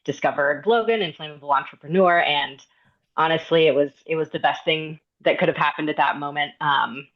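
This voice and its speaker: A woman, an independent designer, introducing herself earnestly with frequent pauses on a video call. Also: deep voice, dull audio. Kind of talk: prepared self-introduction.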